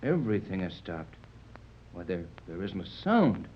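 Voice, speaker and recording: An actor playing Tex, a steady, commanding ship's officer, in a 1930s radio drama. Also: male voice, deep voice, moderate pace. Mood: uneasy. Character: steady, grave male seaman, middle-aged. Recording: muffled old radio transcription with hiss.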